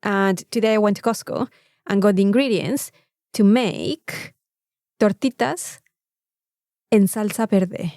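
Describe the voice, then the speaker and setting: A Mexican woman with a mid-pitched voice tells casual anecdotes as a podcast co-host. Her Spanish-accented delivery is effusive, with words repeated for emphasis. The sound is dry and close. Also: unhurried, long pauses, mellow, dry recording.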